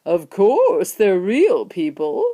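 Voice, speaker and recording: A woman's voice: mid-range voice, huge pitch swings, dark tone, exaggerated voice. A woman voicing an exuberant, eccentric male candy factory owner who proudly shows off, stretching words theatrically in a storybook reading. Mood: amused certainty. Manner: theatrical, drawn-out emphatic words.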